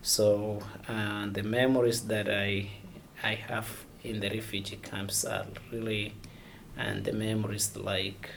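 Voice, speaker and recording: A man from Somalia, answering interview questions haltingly and searching for words. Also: fairly low pitch, little pitch movement, vocal fry, fairly bright tone, slight background noise. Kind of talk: oral history interview.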